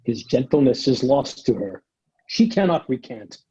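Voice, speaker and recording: Man playing pious medieval accuser, mellow, very lively pitch, condemning, dramatic table read. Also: moderate pace, natural voice. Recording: dull, lo-fi video call audio.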